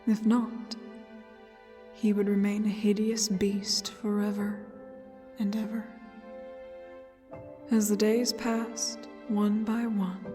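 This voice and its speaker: A woman narrating a fairy tale in a solemn, dramatic storybook style, with deliberate pauses between short phrases. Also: medium pitch, little pitch movement, dark tone, in a large hall, faint music behind.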